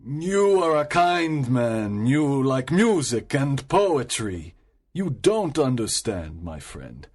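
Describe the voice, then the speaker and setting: A man reading a graded audiobook, voicing a loud, boastful army sergeant. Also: mid-range voice, very animated, slow.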